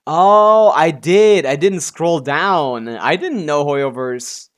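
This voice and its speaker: A man's voice: a little high, animated, slow. A man giving casual, offhand live commentary as a game streamer, muttering to himself and swearing freely.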